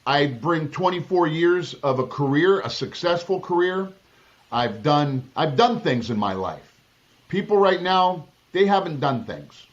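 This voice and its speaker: A man's voice: fairly high pitch, very animated, measured pace. Political candidate, male, from Southern California; emphatic, blunt delivery, restarts mid-sentence, slightly dull recording. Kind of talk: interview answers.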